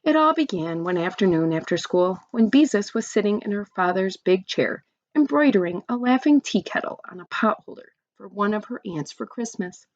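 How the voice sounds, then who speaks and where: A woman's voice: medium pitch, expressive, normal speed, few pauses, no room sound, dull audio. A woman reading a children's story aloud expressively, with chatty asides to young listeners.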